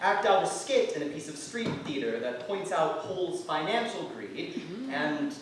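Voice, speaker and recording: A man's voice: a little high, big pitch swings, a little slow. A man giving theatrical scripted narration as an actor reading a play synopsis. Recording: echoey hall from far off, faint noise.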